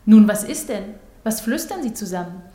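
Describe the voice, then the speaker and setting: Woman playing vain young lady, audio drama, formal, pert, demanding, middle-pitched. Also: animated, moderate pace, dark tone, lightly acted. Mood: pert, insistent. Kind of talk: German audio drama dialogue.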